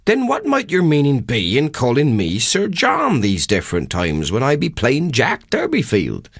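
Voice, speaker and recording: Lilting, naive West Country rustic. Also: male voice, medium pitch, huge pitch swings, normal speed, breathy edge, no room sound, studio quality, voice pushed up, heavily acted. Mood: puzzled. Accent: English West Country. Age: middle-aged. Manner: rustic dialect, wondering and wheedling. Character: middle-aged rustic tippling haggler, naive and self-important.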